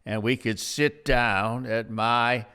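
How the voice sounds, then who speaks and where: A man in his seventies hosting an unscripted interview podcast as a pastor, speaking in a deliberate, halting way with long pauses. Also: mid-range voice, steady tone, sharp tone, clean tone. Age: 70s. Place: at a dining room table in a house.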